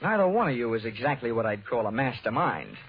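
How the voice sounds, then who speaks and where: An actor playing a wisecracking, tough American insurance investigator in a 1940s radio detective drama, speaking brisk and wry. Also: male voice, medium pitch, big pitch swings, breathy edge. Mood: tough, sardonic. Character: wisecracking hard-boiled insurance investigator man. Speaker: lead actor and narrator. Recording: tinny 1940s radio recording, music.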